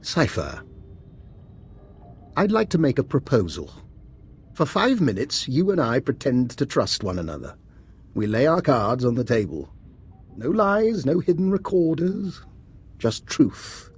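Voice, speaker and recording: A covert scientist in a video game, urbane and unnervingly composed. His mid-pitched voice is a little husky, and he phrases everything with formal, understated politeness that carries quiet menace. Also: very animated, a little slow, pauses often, heavily acted. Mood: measured, persuasive. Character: eerily calm, politely sinister male doctor and covert scientist.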